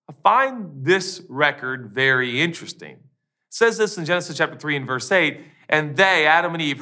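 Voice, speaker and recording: Animated preacher with a bright voice. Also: male voice, medium pitch. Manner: animated, emphatic, quick asides and repetition. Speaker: pastor. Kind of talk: sermon. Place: church stage with screen.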